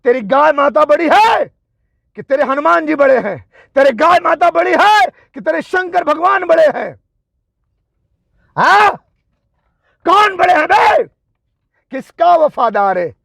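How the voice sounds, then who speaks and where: A man's voice: extremely high pitch, expressive, slow, gravelly, long pauses, fairly bright tone, no echo. A man delivering an angry, confrontational political and religious tirade, taunting with rhetorical questions and emphatic repetition.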